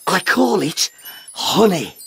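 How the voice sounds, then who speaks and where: A man playing an excitable, lovestruck young beekeeper in a comedy audio drama, flustered, stammering and eager. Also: sits high, slow, vocal fry, husky, faint music behind, heavily acted. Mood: eager, boastful.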